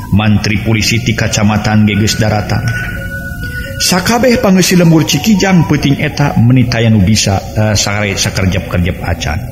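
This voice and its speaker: A man with a West Javanese accent narrating a serial folk tale in a dramatic storytelling style. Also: lowish voice. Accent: Sundanese-language region of West Java, Indonesia. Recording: roomy echo with music underneath.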